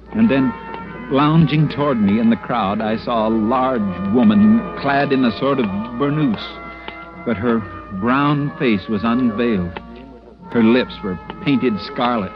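A man's voice: medium pitch, natural voice. Man acting fussy, stammering timid tourist, vintage radio. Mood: nervous, fearful. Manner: hesitant, stammering, prim and flustered. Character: timid, fussy American male tourist recounting his misadventure. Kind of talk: 1940s radio drama acting, first-person narration. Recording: muffled vintage radio recording with music.

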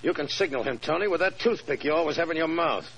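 An actor playing a suspicious, menacing race-car owner, hard and terse, in 1940s radio drama style. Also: male voice, a little high, animated, quick pace, a bit bright, breathy edge, full character voice. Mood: accusing. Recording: old radio recording, faint noise.

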